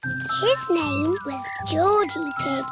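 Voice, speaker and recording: A woman's voice: high voice, extremely slow. A woman voicing a cheerful, bossy little girl pig in a children's cartoon, telling stories expressively with a British accent. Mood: storytelling. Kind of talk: children's cartoon dialogue and bedtime storytelling. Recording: music behind the voice. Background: children's music.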